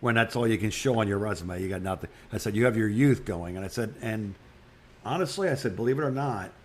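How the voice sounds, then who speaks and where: A man in his sixties chatting casually as a podcast co-host, telling anecdotes in a rambling way with repeated phrases and restarts. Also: mid-range voice. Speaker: podcast co-host, retired radio broadcaster.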